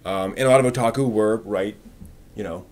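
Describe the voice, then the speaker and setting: A man's voice: on the low side, a little fast, darkish tone. A man hosting a live stream, chatting casually with viewers in an informal, rambling way as he thinks aloud.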